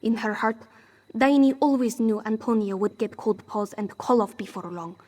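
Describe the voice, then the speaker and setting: A woman's voice: fairly high pitch, moderate pace, a little mellow, close to an everyday voice. A woman playing a wry, proud cat-folk smuggler with a theatrical, sardonic manner in scripted fantasy game dialogue. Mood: wry, resigned. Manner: theatrical, sardonic, speaks of herself in third person.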